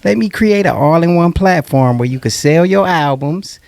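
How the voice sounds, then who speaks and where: A man's voice: on the high side, animated, normal speed, gravelly, a little mellow, no echo. A man from Illinois, an app founder, telling his story in a rambling way with many fillers. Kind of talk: casual interview.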